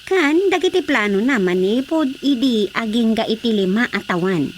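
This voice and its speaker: A woman's voice: fairly high pitch, lively intonation, moderate pace, a little hiss or hum, lightly acted. An actress playing an earnest young woman who speaks respectfully to her mother in a radio drama. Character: young woman, devoted daughter. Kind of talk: Ilocano radio drama acting.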